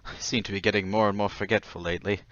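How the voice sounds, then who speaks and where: A man's voice: lowish voice, steady tone, rapid-fire, fairly bright tone, no echo, muffled recording, lightly acted. An actor playing a meek, forgetful former travelling salesman in old-time radio drama style, hesitant, apologetic and fretful. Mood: apologetic, flustered.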